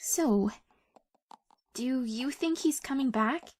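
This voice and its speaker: A woman's voice: sits high, slow, slight background noise. A woman voicing a loyal, playful young mare with a casual manner in a cartoon-style comic dub. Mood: breezy, supportive. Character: breezy, loyal young adult mare, supportive sister-in-law.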